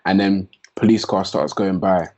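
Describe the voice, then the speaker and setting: Laid-back young man, low voice. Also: moderate pace, a little mellow, dull audio. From London, England. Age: young adult. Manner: laid-back, slangy, laughs often. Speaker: podcast guest. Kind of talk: casual chat.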